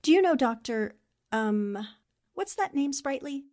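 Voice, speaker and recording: Woman acting an eager high-voiced newspaperwoman. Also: expressive, unhurried, darkish tone, faint music behind. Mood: bright, polite. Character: determined, eager newspaperwoman investigating a haunted house. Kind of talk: 1940s radio drama acting.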